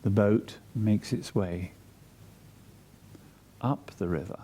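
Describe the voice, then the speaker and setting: A man with a very dark, mellow voice guides a meditation at an unhurried pace. His tone is soft, husky and breathy. He speaks soothingly, in short measured phrases with long pauses between them. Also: fairly low pitch.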